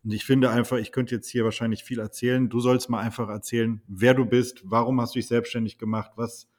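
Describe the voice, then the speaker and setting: A man's voice: medium pitch, level tone, a little fast, darkish tone. A man hosting a podcast, asking long, admiring questions with fillers and small restarts. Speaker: podcast host. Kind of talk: conversational podcast interview.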